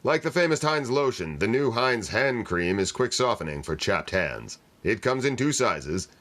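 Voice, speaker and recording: A man playing a 1940s radio announcer, reading scripted copy in a measured, salesmanlike way. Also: mid-range voice, sing-song, fairly bright tone. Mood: reassuring pitch. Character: smooth-selling male 1940s radio announcer. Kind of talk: scripted radio advertisement read.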